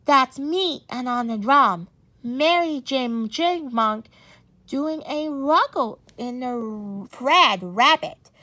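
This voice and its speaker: A woman reading a storybook aloud in a halting, stumbling way, often muddling her words. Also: sits high, lively intonation, sharp tone.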